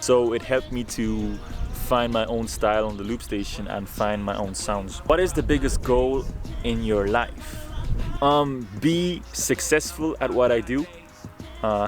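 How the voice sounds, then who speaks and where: A young man with a mid-range voice, from Ireland, reads fan questions aloud and answers them candidly in a relaxed, unhurried way. Faint music sits softly behind him. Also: medium pitch. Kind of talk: casual Q&A vlog.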